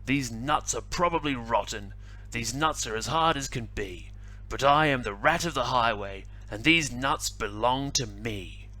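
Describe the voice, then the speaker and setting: A man voicing a greedy, bullying highway robber rat in a picture-book reading, shouting his demands. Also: measured pace, rough recording. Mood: bellowing greedy. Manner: loud, blustering demands. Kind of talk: picture-book read-aloud.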